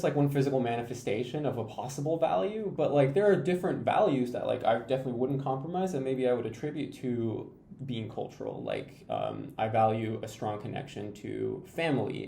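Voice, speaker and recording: Gravelly, lively young man from Peru. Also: medium pitch. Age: young adult. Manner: thoughtful, searching for words. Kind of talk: casual discussion. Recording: echoey room, faint noise.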